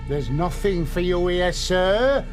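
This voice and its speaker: Terse pub local. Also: male voice, high voice, lively intonation, slow, faint music behind. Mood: guarded. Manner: terse, suspicious. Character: guarded, unwelcoming Yorkshire pub local, a man. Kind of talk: radio drama acting.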